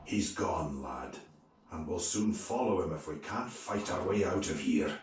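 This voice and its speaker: A gruff, battle-weary knight from a video game. His very deep voice is blunt and drawn out, coming from a distance. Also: expressive, unhurried. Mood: grim. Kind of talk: dramatic video game cutscene acting. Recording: distant, echoey, faint noise.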